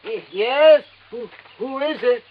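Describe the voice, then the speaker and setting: An actor playing a nervous, stammering, secretive elderly scientist in a vintage radio drama. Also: male voice, very high-pitched, crowd noise. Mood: nervous, flustered. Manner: stammering, halting, timid. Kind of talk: 1950s radio drama acting. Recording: muffled, noisy vintage radio recording.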